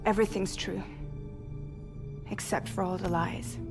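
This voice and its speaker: An actress playing a wounded, defiant young courier in a game cutscene, solemn and blunt. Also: female voice, medium pitch, steady tone, a little slow, lightly acted. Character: wounded, defiant young woman courier, bitter but loyal. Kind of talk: dramatic video game cutscene acting. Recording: loud new-age music behind.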